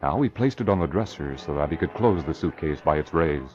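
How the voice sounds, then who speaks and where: A man's voice: extremely low pitch, lively intonation, a little fast, darkish tone. A man narrating a scripted 1940s radio drama in dramatic style. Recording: muffled, noisy, crackly vintage radio recording.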